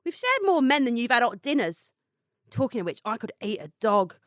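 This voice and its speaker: A brash, nosy woman with a high, extremely animated voice. She is blunt, teasing and pushy, recorded close and dry. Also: brisk, no echo. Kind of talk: audio drama dialogue.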